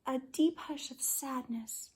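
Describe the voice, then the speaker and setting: Slow, higher-voiced woman reading to kindergarteners. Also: breathy edge. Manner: deliberate, animated storytelling with drawn-out words. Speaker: kindergarten teacher reading aloud. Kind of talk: expressive picture-book read-aloud for young children.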